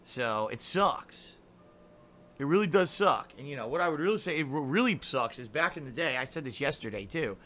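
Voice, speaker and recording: A man's voice: on the high side, animated, moderate pace, pushed and bright. A middle-aged man streaming games live, rambling and complaining in a casual monologue full of fillers. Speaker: game streamer.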